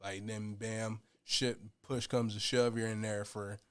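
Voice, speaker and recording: A young man in his late teens chatting casually, animated and full of slang, spinning jokey hypotheticals. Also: fairly low pitch, a little slow, smooth. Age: young adult. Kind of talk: casual podcast chat.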